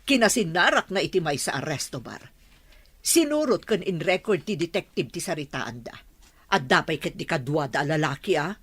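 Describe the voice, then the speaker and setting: Shrewd, husky rich Filipina mother. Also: sits low, expressive, moderate pace, fairly bright tone, no echo, exaggerated voice. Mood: urgent, insistent. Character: wealthy, shrewd, protective mother of a grown son. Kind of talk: Filipino radio drama acting.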